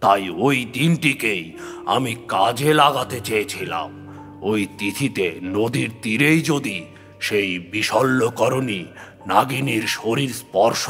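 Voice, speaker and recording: A man narrating a scripted horror story in the first person, with deliberate, theatrical phrasing. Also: medium pitch, fairly bright tone. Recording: long hall reverb, music behind.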